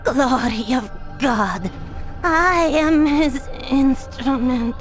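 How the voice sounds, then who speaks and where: A woman's voice: high voice, animated, extremely slow, exaggerated voice. An actress playing a mad, zealous noblewoman turned killer, ranting with menacing religious fervor in a video game. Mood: fanatical menace. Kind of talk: dramatic video game acting. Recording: music behind the voice.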